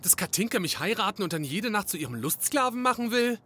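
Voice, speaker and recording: High, excitable, flustered young sidekick. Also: male voice, quick pace, husky, full character voice. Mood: indignant, baffled. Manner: flustered, indignant, exclamatory. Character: excitable, naive, anxious young male detective's assistant. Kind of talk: German comedy audio drama acting.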